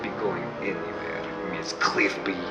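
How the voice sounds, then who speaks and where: A man's voice: low-pitched, little pitch movement, unhurried, over loud music. A man playing a scheming mad-scientist villain in a radio drama, gloating and theatrical. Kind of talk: 1940s radio drama acting. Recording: roomy echo.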